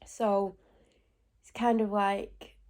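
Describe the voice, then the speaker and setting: A woman's voice: medium pitch, little pitch movement, dark tone. A woman talks through her morning in a loose, rambling vlog, correcting herself as she goes. She is bunged up with a cold, so her voice comes out stuffy and congested. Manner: rambling, talks in quick asides and self-corrections. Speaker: vlogger. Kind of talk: casual chatty vlog. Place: at home.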